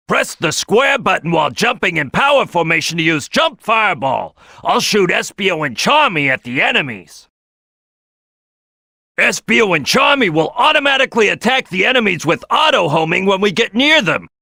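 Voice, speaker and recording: Gruff, brash cartoon crocodile boss, wildly animated. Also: male voice, high voice, normal speed, no echo, studio quality, exaggerated voice. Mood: instructing, confident. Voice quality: gruff, gravelly. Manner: loud, boisterous, bossy. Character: big brash male crocodile detective, gruff team leader. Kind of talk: video game character acting.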